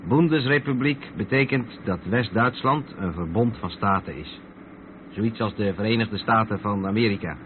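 An actor playing a calm truck driver who explains things patiently to his mate in a radio drama. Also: fairly low pitch, moderate pace, natural voice. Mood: patient, explanatory. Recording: tinny narrow-band radio recording with vehicle noise.

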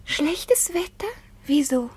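A woman's voice: sits high, expressive, slow, darkish tone. An actress playing an anxious, bewildered woman with theatrical alarm in a 1950s radio melodrama. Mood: alarmed, puzzled. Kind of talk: 1950s German radio play acting.

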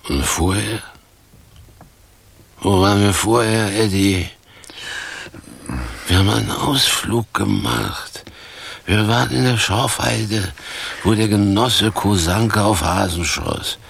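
An actor playing a weary, reproachful homeless former stage actor in a radio play, speaking deliberately and pompously in a husky, gravelly voice. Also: male voice, lowish voice, steady tone, fairly bright tone, heavily acted. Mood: insistent recounting.

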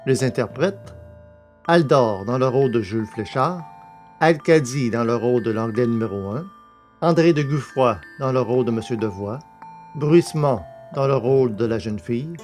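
A man's voice: medium pitch, moderate pace, darkish tone. A man reading scripted credits as the announcer of an audio drama. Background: plucked guitar music.

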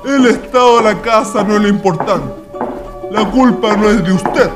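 A man's voice: high-pitched, moderate pace, over music, lightly acted. A man playing a courteous, earnest Chilean idealist in a radio drama.

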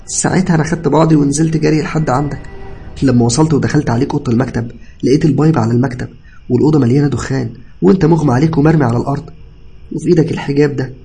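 A man's voice: a little high, fairly even intonation, very fast, creaky, dark tone, husky, pitched above the performer's own voice, full character voice. Man acting a tearful, pleading young Egyptian man. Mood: frantic, pleading. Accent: Egyptian Arabic. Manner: stammering, pleading. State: crying. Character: distraught tearful young male cousin, confessing guiltily.

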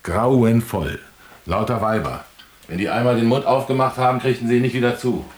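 A man's voice: medium pitch, fairly even intonation, normal speed, natural voice. A man acting a sardonic, grumbling East German drinking buddy in a radio play, dry, laconic and mocking.

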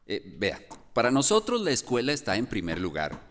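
A man's voice: medium pitch, wildly swooping pitch, normal speed, fairly bright tone. A man acting a polite, reassuring local man in a radio drama, hesitant in manner. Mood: apologetic, reassuring. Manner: hesitant, with small fillers. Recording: faint background noise.

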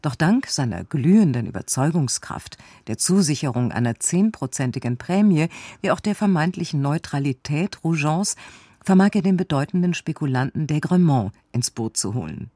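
A man's voice: on the high side, sing-song, moderate pace, warm tone, no room sound, studio quality. A man reading a scripted synopsis as the narrator of a radio drama. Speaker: narrator giving the recap.

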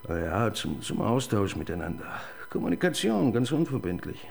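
A man's voice: on the low side, big pitch swings, normal speed, gravelly. An actor playing an awkward male police assistant in a radio crime drama, who stammers when questioned. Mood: chatty. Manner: flustered, stammering when pressed.

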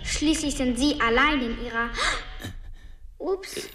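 A woman's voice: high voice, takes it slowly, a bit bright. An actress playing a taunting, flippant, otherworldly female presence in a German radio drama. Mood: mocking, playful. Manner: mocking, flippant. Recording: echoey, distant, over music.

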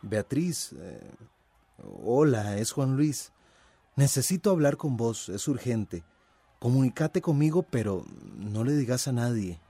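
An actor as a secretive, hesitant man leaving a voicemail in a radio play. He speaks slowly in a medium-pitched voice. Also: lively intonation. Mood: furtive, urgent. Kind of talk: radio drama acting.

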